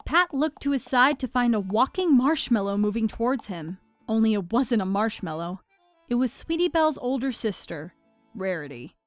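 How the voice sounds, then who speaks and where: Female narrator, fairly high voice, scripted storybook read, dry recording with faint music. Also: very animated, moderate pace, no room sound.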